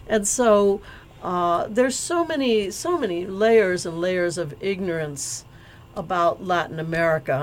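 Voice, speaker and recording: A woman answering interview questions, hesitant with many fillers and restarts, turning emphatic and indignant. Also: medium pitch. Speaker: guest.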